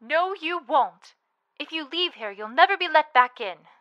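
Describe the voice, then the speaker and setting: A woman's voice: high voice, expressive, measured pace, no room sound, dull audio, voice pushed up. A woman voicing a stern female pony leader of a sealed shelter, giving cold, unbending warnings.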